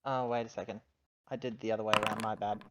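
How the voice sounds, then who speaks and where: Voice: mid-range voice, flat delivery, fast, very noisy. A player in a tabletop roleplaying game, chatting casually and describing what their character does.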